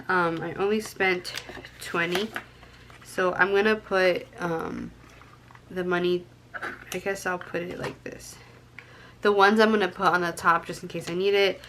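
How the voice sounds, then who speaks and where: A woman's voice: on the low side, a bit bright. Stuffy-nosed young woman chatting casually. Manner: chatty, counting cash aloud, many ums. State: stuffy nose from a cold. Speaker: budgeting vlogger. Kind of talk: casual chat to camera.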